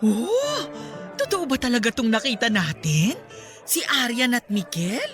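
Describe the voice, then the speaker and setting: A woman playing a warm, easily astonished middle-aged mother chatting with her best friend in a radio drama. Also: a little high, very animated, unhurried, faint music behind. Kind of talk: conversational radio drama acting.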